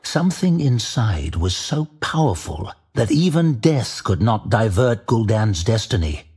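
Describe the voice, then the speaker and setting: A man giving dramatic scripted narration of a fantasy tale in a measured, grave storytelling manner. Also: fairly low pitch, big pitch swings, a little mellow, breathy edge. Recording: music behind the voice.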